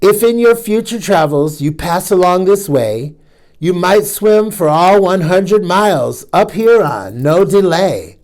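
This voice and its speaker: A man's voice: sits high, animated, a little slow, darkish tone. A man voicing a small, cheerful male kingfisher bird who speaks in sing-song rhymes.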